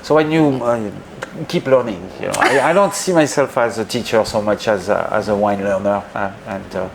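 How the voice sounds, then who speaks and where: French guy being interviewed, deep voice, kinda hesitant and humble, bit of noise behind him. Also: moderate pace, gravelly. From northeast France. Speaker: guest, wine educator. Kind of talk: casual interview chat, telling anecdotes.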